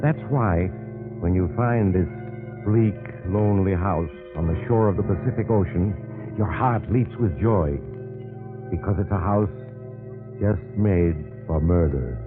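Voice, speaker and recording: A man's voice: deep voice, a little slow, music in the background. A man playing a genial but sinister mysterious traveler, narrating an old radio mystery in a wry, theatrical manner. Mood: ominous, inviting. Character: mysterious male train traveler, genial, sinister teller of macabre tales. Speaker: narrator and host of mystery drama. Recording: muffled, noisy old radio recording.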